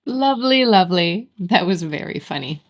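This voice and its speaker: A woman's voice: on the low side, expressive, moderate pace. A woman hosting an online event in a casual, conversational style. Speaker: event host.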